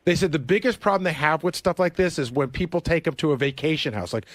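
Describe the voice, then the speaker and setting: A man talks rapidly in a slightly high voice on a dry studio mic. He works on a radio show and explains things casually, step by step in choppy phrases. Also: no echo.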